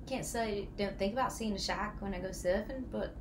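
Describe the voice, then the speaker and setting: A young woman playing an unfazed, dogged surfer in a comic monologue, speaking in a halting, understated deadpan. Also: mid-range voice, natural voice. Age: young adult. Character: unfazed, dogged Australian surfer who lost a foot. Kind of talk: comic character monologue for an acting reel. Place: plain-walled indoor room. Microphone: camera mic a few feet away. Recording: echoey room, clear background noise.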